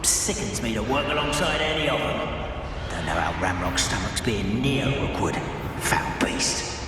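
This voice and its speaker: An actor playing a menacing male dark wizard, contemptuous and taunting. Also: lowish voice, moderate pace, close to an everyday voice. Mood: scornful. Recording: echoey hall from far off, music behind.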